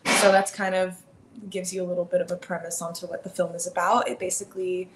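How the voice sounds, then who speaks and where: A young woman from Miami with an American accent, talking casually as a guest about her animated film, upbeat and full of likes and ums. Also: fairly low pitch, fast talker, heavy background noise. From Miami, Florida. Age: young adult. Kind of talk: casual interview chat. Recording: roomy, echoey sound with faint ambience.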